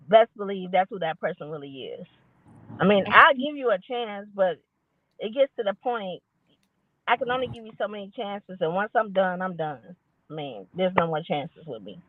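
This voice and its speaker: A woman's voice: medium pitch, no room sound. A woman speaks bluntly and frankly as a podcast guest, her voice coming through a thin, tinny, phone-like line. The sound is dry and close, with no room tone behind her. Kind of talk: casual chat.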